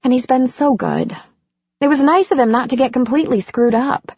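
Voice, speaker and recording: A woman voicing a warm, anxious middle-aged woman in an audiobook, talking brightly and quickly. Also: husky, dry recording. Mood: eager. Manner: bright and eager, higher than her narration. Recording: dry, dull lo-fi audiobook recording.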